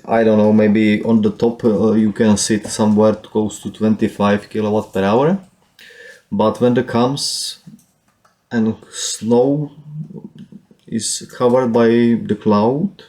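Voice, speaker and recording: A man with a Czech accent talks through his own solar data, pausing and restarting with plenty of fillers. His voice sits low and sounds soft and mellow. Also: fairly even intonation. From Czech Republic. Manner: halting, with frequent fillers and restarts. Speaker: solar power hobbyist. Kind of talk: casual screen walkthrough.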